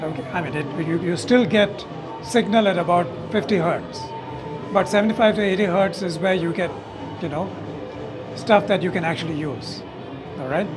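A man in his sixties explaining technical details in an interview, in a halting way, often checking with "okay". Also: high-pitched, music in the background, rough recording. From India. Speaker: loudspeaker company owner and designer, interviewee. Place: hotel corridor at an audio show. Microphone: lapel mic.

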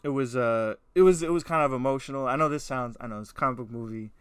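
Guy podcast co-host, crisp voice, dry sound, talks animated and slangy. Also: mid-range voice, fast talker, no echo. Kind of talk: casual movie discussion podcast.

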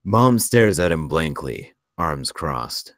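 Narrator, male: extremely low voice, dry recording, reading screenplay directions. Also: big pitch swings, takes it slowly, no room sound. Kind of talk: scripted narration.

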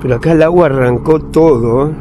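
A man's voice: a little high, a little fast. A man chatting casually to viewers while paddling a kayak on a river, pointing things out as he goes. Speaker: vlogger kayaking. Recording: outdoor camera audio, clear background noise. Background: water and paddling sounds.

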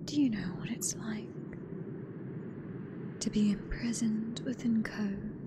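A British woman voicing a hateful sentient AI god in a breathy, deliberate whisper for an ASMR horror roleplay. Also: medium pitch, fairly even intonation, very noisy. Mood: bitter longing. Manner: slow, deliberate, menacing, theatrical. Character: hateful sentient female AI god gloating over last human.

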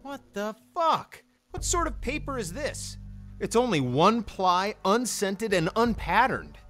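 An actor playing a crude, excitable prison guard in a comedic video game, with exaggerated delivery. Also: male voice, sits high, a little slow, faint music behind, full character voice. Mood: complaining. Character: crude, jumpy male prison guard, comically deferential.

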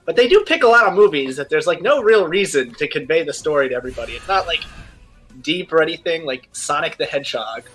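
A young man with a slightly high voice talks casually as a stream co-host. His conversation is informal, with faint music behind it. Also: animated, fast talker, a bit bright, poor audio. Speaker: co-host in a live stream discussion. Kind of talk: casual chat. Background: background music.

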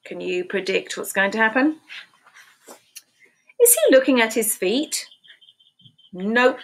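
A woman in her fifties reading picture books aloud to preschoolers in a slow, sing-song storytime voice, recorded on a webcam in a small room at home. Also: a little high, animated, rough recording. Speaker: children's book reader. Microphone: webcam at desk.

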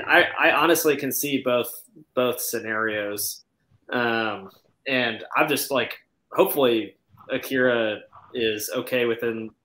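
A man's voice: mid-range voice, pushed and bright. A man co-hosting a casual soccer podcast over a video call, speaking in a tentative, conciliatory way. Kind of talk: casual sports discussion. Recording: roomy, echoey call audio.

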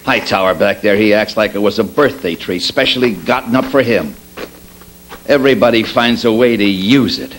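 An older American man playing a stern, weary Old West marshal in a radio drama, with a dry, measured delivery. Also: lowish voice, fairly bright tone, lightly acted. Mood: weary, troubled. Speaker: radio actor. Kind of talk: old-time radio drama re-creation, read from script. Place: convention meeting room, indoors. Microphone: stand microphone on stage. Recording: noisy amateur video of live stage.

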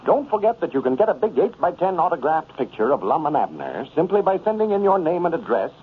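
A man with an American accent announcing in the scripted advertising style of 1930s radio. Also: a little high. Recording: noisy, tinny old radio recording.

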